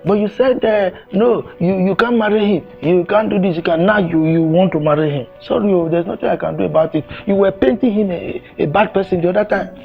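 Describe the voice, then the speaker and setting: Nigerian family elder, high male voice, reluctant, questioning, repetitive, film melodrama, music bed. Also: fairly quick, creaky, hardly any pauses, narrow, tinny audio. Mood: reproachful. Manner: reproachful, questioning, repeats himself. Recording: music bed behind dialogue.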